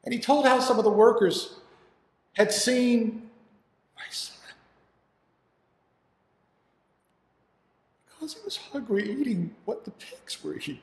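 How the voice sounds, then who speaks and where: A man acting a devoted, grieving father in a dramatic monologue, telling of his lost son haltingly and earnestly, with dramatic pauses. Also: very high-pitched, fairly even intonation. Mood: distressed. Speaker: actor performing an audition monologue. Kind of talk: dramatic first-person monologue. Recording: echoey hall with long reverb.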